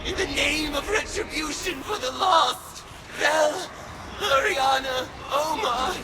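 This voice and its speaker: Grim vengeful monster goddess for a game, super slow solemn chanting, kinda high male-like growl aside, creaky, loud music. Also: low-quality recording. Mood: wrathful, exalting. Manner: solemn, incantatory, slow dramatic delivery. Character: woman turned Hive god, grim, vengeful, commanding. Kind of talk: theatrical scripted game dialogue.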